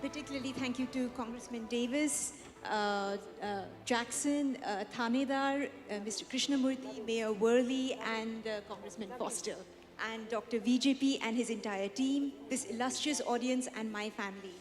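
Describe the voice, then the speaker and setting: A woman's voice: a little high, moderate pace, big-room sound, soft background music. A woman from India giving an earnest award acceptance speech, listing thanks. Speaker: award recipient.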